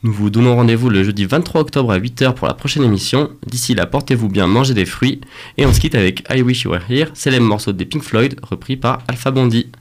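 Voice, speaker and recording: A man's voice: mid-range voice, brisk. A man with a mid-range voice presents a radio programme as its host. His talk moves between prepared announcements and informal exchanges with guests. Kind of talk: radio presenting, partly scripted.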